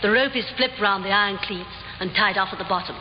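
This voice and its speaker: A woman acting in a 1950s radio drama as an imperious, loyal head of a theatre company, crisp and formal. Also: medium pitch, quick pace, music in the background, lightly acted. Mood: matter-of-fact. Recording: muffled, echoing, hissy old radio recording.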